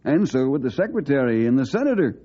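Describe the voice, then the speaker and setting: Steady young ranger, muffled vintage sound. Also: male voice, medium pitch, breathy edge. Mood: earnest, troubled. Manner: earnest, polite, measured. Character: young, steady, respectful male ranger hero. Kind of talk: old-time radio drama acting. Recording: dull old radio recording.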